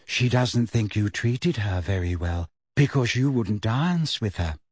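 A dreamy, odd young witch girl from a storybook, acted by a male narrator. The voice drifts along low and vague, in a slow, thoughtful way that states blunt things plainly. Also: lively intonation, dry recording. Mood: dreamy, detached.

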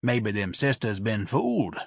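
A man's voice: lowish voice, sing-song, a little slow, vocal fry, no room sound, studio quality, full character voice. A man voicing a mild, lonely old man in an audiobook, polite, plain-spoken and earnest. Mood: suspicious.

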